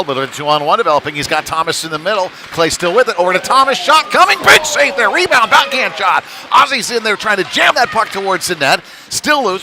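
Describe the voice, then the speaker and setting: Man calling hockey over clear arena noise. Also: a little high. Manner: follows the action in short clipped phrases. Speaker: play-by-play hockey commentator. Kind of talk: live sports commentary. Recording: noisy rink ambience.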